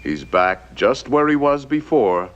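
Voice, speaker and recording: A man narrating an animated science film in a scripted, theatrical storytelling style. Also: medium pitch, unhurried, highs cut off, a little hiss or hum. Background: music.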